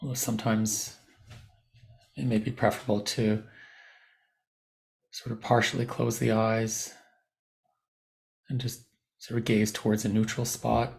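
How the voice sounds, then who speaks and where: A man leading a guided meditation, giving gentle, unscripted instructions with softened, informal phrasing. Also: fairly low pitch, flat delivery, unhurried, vocal fry, pauses often.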